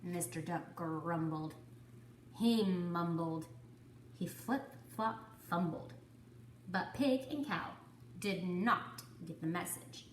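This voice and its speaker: A woman reading a children's story to kindergarteners, with deliberate, dramatic phrasing. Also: lowish voice, reverberant, a little hiss or hum, low-quality recording. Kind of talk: expressive children's story narration.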